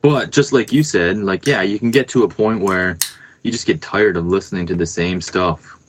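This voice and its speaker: A man's voice: low voice, moderate pace, slight background noise. A man chatting casually as a musician guest on a podcast over a remote call. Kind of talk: casual chat. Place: remote Skype call from Canada.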